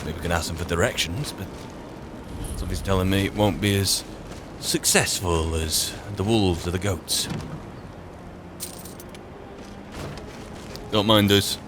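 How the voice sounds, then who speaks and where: A low-pitched adventurer, played by a man in a fantasy game roleplay, musing wryly as he travels. Clear background noise of game ambience runs behind the voice. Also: steady tone, normal speed, close to an everyday voice. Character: wry adventurer musing aloud on a mountain trek. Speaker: player roleplaying a game character on a let's play channel. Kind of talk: in-character improvised game narration.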